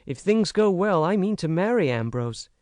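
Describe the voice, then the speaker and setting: A man's voice: fairly high pitch, expressive, a little slow, a little mellow, no echo, clean studio sound. A man reading an audiobook, voicing an earnest, frank young American woman who pleads with emphasis. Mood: earnest pleading.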